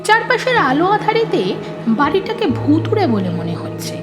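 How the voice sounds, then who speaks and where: A woman narrator reading a scripted horror story in an expressive, suspenseful, dramatic storytelling manner. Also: very high voice, normal speed, over music.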